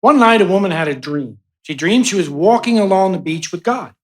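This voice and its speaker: A man acting in a radio play as a grieving, hopeful young son giving an earnest, measured eulogy for his mother. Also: high voice, animated. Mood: earnest, tender.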